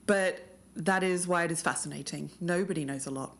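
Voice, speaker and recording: A woman's voice: lowish voice, brisk. A woman co-hosting a podcast and delivering scripted narration. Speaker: podcast co-host.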